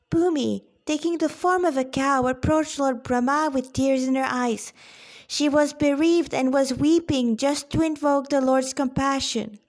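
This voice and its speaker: A woman, an author reading her own story in a dramatic, deliberate way with informal asides. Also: sits high, a little mellow.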